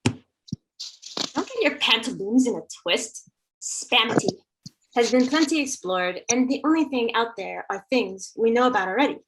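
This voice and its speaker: A woman's voice: a little high, animated, unhurried, noisy background. A woman playing a sassy, dismissive sidekick at a musical comedy table read.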